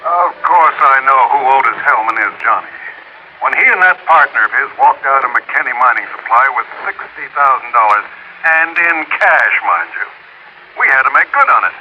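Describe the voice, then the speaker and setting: A man's voice: medium pitch, animated, normal speed, natural voice. Businesslike insurance man actor, dull radio sound. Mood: knowing, emphatic. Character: knowing, businesslike male insurance company man. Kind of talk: 1950s radio drama acting. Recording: dull old radio recording, faint noise.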